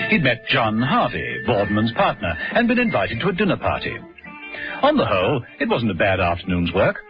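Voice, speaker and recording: A man narrates a drama from a script in a mid-range voice. His intonation is lively, and music plays clearly behind him. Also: mid-range voice, poor audio.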